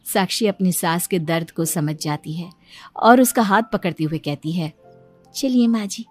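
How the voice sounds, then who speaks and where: A woman's voice: on the low side, moderate pace, faint music behind. A woman narrating a scripted moral story as its storyteller.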